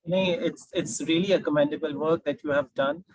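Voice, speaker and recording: A man's voice: a little high, fairly even intonation, moderate pace, a little mellow, dry recording, low-quality recording. A man with a Pakistani accent hosting informally as a panel moderator.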